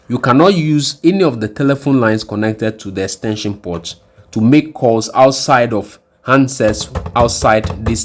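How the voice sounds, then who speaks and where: A man presenting an instructional hardware demonstration in a deliberate, explanatory way, often rephrasing his points. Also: lowish voice. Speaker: tutorial presenter.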